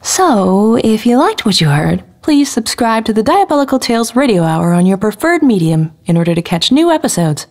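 A woman's voice: on the high side, big pitch swings, moderate pace, hardly any pauses, a little mellow. A woman, an actress in the show, reading a scripted promotional appeal to listeners.

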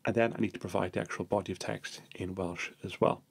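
Male tutorial narrator, lowish gravelly voice, a bit husky, steady step-by-step delivery. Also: fast.

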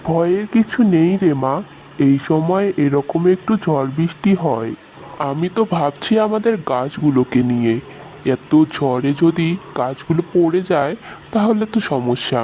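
A man playing a caring, middle-aged village fisherman father in a fairy-tale cartoon, speaking in a gentle, fatherly way. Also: fairly high pitch, expressive, measured pace, slight background noise, low-quality recording. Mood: worried, tender.

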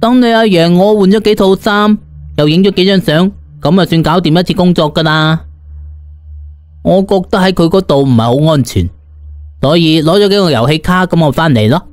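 A man's voice: sits high, a little fast, dry recording, close to an everyday voice. A man voicing an arrogant, scornful ancient immortal in a storybook, boastful and impatient. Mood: impatient bragging. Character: arrogant ancient immortal sorcerer calling himself grandpa, scornful. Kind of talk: Cantonese audiobook character voice.

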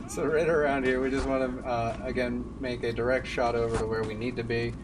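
A young man gives casual, explanatory gameplay commentary on a stream. His voice sits at a middle pitch, a bit away from the mic, with game sound clearly behind it. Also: flat delivery, normal speed, recorded from a distance, background noise. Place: indoor room at a streaming desk. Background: game sound and music.

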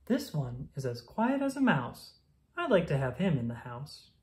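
A woman reading a rhyming children's picture book aloud in a playful, sing-song, expressive manner. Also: sits low, normal speed.

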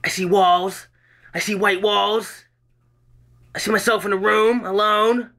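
A man voicing a volatile, defensive psychiatric patient who snaps back at his doctor in a high, strained shout. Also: steady tone, unhurried, heavily acted. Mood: agitated.

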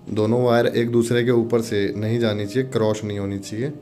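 A man's voice: mid-range voice, flat delivery, fairly quick, dark tone, faint music behind. A man presenting an informal product demonstration, instructing viewers step by step and addressing them as friends. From India.

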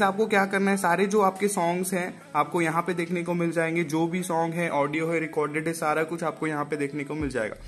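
A man's voice: a little high, fairly bright tone. A man with an Indian accent hosting a casual step-by-step phone tutorial, conversationally addressing viewers and repeating his instructions. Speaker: tech tutorial host.

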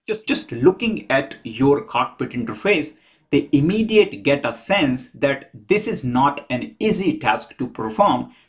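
Measured man explaining to camera. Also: medium pitch. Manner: measured, methodical, counts points off one by one. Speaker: host. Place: small room at home.

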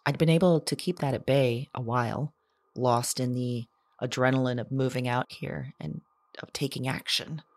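A woman playing a lonely, haunted character who keeps an audio journal in a drama, with a halting, intimate, confessional delivery. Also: extremely low pitch, fairly even intonation, no room sound. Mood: weary, reflective. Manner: halting, hesitant, hushed reflective delivery.

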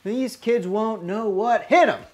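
A man playing a folksy father who talks slowly and draws his words out. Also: high-pitched, a little mellow. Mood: quietly excited. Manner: slow, drawn-out delivery. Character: folksy father secretly planning a holiday costume surprise.

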